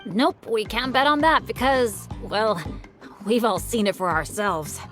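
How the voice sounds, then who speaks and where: A woman's voice: mid-range voice, measured pace, a bit bright, faint music behind, lightly acted. A woman voicing an earnest, eager young male adventurer in a video game, confident and good-natured. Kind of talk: animated video game voice acting.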